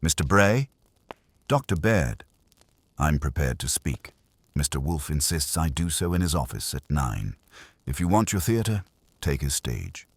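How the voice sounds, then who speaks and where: A careful male doctor weighed down by guilt, read by a male narrator. He sounds deep and formal, choosing his words with resignation, in a dry mystery audiobook recording. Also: big pitch swings, unhurried, no room sound, exaggerated voice. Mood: resigned.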